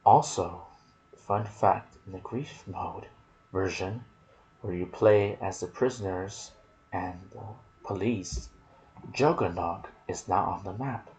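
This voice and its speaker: Male ASMR game narrator: lowish, unhurried, hushed phrasing, with noticeable ambient noise behind the voice. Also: rough recording. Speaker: video game lore narrator. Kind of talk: soft-spoken ASMR game commentary. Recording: clear background noise.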